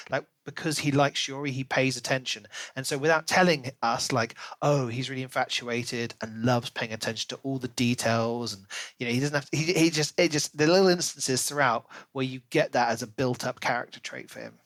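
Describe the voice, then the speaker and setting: A man co-hosting a podcast in a casual book discussion, talking in an animated, rambling way and acting out imagined quotes. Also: mid-range voice, no echo. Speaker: podcast co-host reviewing a book.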